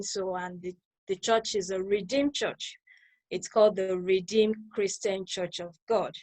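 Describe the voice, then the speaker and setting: A woman's voice: medium pitch, rough recording. A woman from Lagos with a Nigerian accent, being interviewed over a video call and explaining things conversationally, circling back to clarify. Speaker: interviewee, new church member. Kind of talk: conversational personal account.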